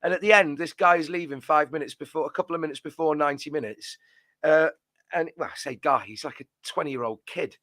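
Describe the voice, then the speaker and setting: Man, fan reaction chat, bright tone, dry close sound, rambling. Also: on the high side, fairly quick, no room sound. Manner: rambling, restarts often, drops to a mutter at phrase ends. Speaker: fan channel co-host. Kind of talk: post-match reaction chat.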